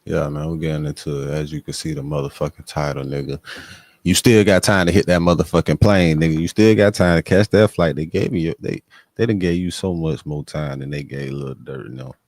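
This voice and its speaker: A man's voice: extremely low pitch, steady tone, fairly quick, a little mellow, no echo. A man giving casual, profane reaction commentary in a slangy, repetitive and emphatic way, as a show host.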